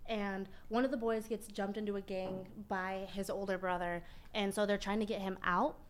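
A woman's voice: medium pitch, fairly even intonation, normal speed, a little hiss or hum. A young woman co-hosting a podcast in casual, informal conversation. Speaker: podcast co-host.

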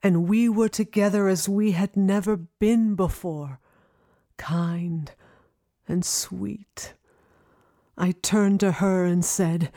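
A woman playing a grieving grown daughter, narrating an intimate audio drama about memories of home with tender, dramatic pauses. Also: fairly low pitch, mellow, full character voice. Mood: tender, hushed. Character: grieving grown daughter recalling her childhood home with wonder. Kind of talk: intimate first-person audio drama monologue.

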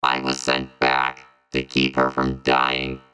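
Very deep, monotone robot. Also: slow, slight background noise, full character voice. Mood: formal. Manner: formal, literal, emotionless delivery. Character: time-traveling robot sent from a ruined future, coolly formal. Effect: robot voice processing, pitched very low. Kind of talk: audio drama acting.

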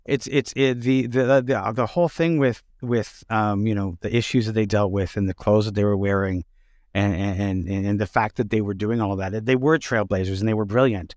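Halting man interviewing, dry sound. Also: fairly low pitch, darkish tone, dry recording. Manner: halting, repeats words while forming thoughts. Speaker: interviewer, host. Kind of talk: casual conversational interview.

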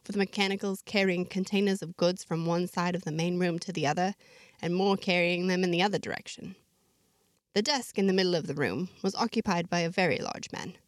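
A woman's voice: on the low side, no room sound, studio quality. Woman narrating a diary-style story. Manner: chatty, diary-like narration with asides. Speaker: narrator of a serialized fiction podcast. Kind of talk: first-person scripted storytelling.

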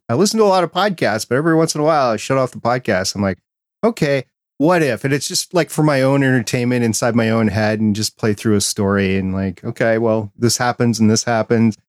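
A man's voice: medium pitch, a little fast, no room sound, studio quality. A middle-aged man hosting a podcast, chatting casually and putting questions to his guest. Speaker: podcast host, interviewer. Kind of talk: casual interview chat.

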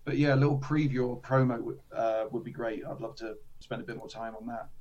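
A man, a slightly hoarse guest chatting casually about tabletop games, with self-deprecating asides. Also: mid-range voice, steady tone, fairly quick, a little mellow, lo-fi sound. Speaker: guest, tabletop game designer. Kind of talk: casual interview chat.

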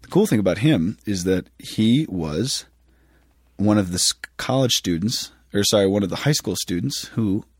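A man's voice: fairly low pitch, expressive, normal speed, breathy edge, dry recording, studio quality. A man in his thirties co-hosting a podcast in casual chat, joking and laughing, stumbling over foreign names. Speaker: podcast co-host.

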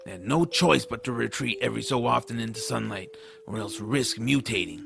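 Bitter, gravelly troll. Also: male voice, mid-range voice, brisk, noisy background. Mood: scornful, indignant. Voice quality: gravelly, rough. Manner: sardonic, indignant storytelling. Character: bitter, defiant troll, a disfigured dark-elf runaway slave. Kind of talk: audiodrama character acting.

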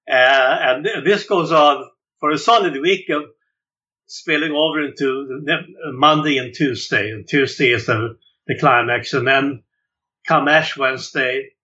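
An older man from Sweden recalls his life in a relaxed interview. His voice is bright and forceful, and he stumbles through fillers and restarts. The audio sounds dull and lo-fi. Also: mid-range voice, unhurried. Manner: hesitant, many uh and repeated words. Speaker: jazz clarinetist guest.

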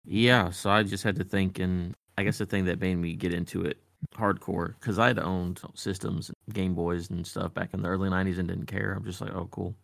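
A man's voice: low-pitched, level tone, normal speed, creaky, a bit bright, no echo, studio quality. A man in his thirties chatting laid-back on a podcast, swearing casually. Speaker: podcast co-host. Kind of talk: casual chat.

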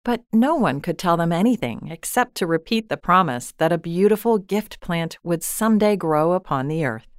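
Calm woman reading a storybook. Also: lowish voice, dry recording, studio quality. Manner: calm, measured storytelling with soft quoted asides. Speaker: storybook reader. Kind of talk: gentle scripted story narration.

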